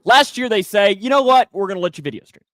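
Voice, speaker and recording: A man's voice: sits high, expressive, fast talker, a bit bright, dry recording. A man in his twenties hosting a casual sports talk show, speaking emphatically and repeating phrases to drive them home. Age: 20s. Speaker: sports show host and broadcaster.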